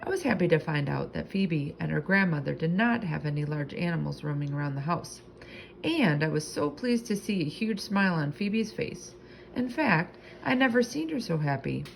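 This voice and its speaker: A woman narrates a children's storybook aloud. Her voice sits a little low and has noticeable fry, and she reads expressively, drawing out key words. There is faint noise in the recording. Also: lively intonation, normal speed, low-quality recording. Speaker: storybook reader.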